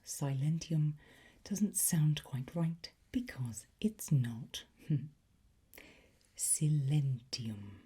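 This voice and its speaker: A woman speaks in a soft, dark, very breathy whisper, at an extremely slow pace. She plays a patient ASMR teacher, gently encouraging the listener. The delivery is unhurried and close to unvoiced throughout. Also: deep voice. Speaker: ASMR host playing a language teacher.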